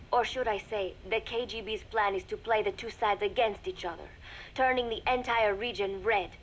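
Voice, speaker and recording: Actress as a composed young spy on noisy tape. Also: female voice, medium pitch, lightly acted. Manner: measured, formal, deliberate diction. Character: composed young female spy secretly reporting to a shadowy master. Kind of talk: video game voice acting, spoken audio log. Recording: noisy cassette tape recording with ambience.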